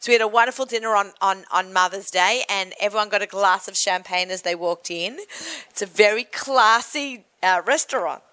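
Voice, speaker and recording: A woman with an Australian accent hosting a chatty radio show, friendly and quick to laugh as she interviews guests. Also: mid-range voice, pushed and bright, clear, resonant tone. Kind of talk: casual on-air interview chat.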